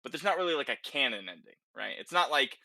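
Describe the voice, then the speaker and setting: A man speaks as a podcast host in relaxed game talk. His mid-range voice is very bright and forceful, recorded dry. He is conversational and often tags his points with a quick check for agreement. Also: mid-range voice, gravelly, no room sound. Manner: conversational, checks in with tag questions. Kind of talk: casual video game discussion.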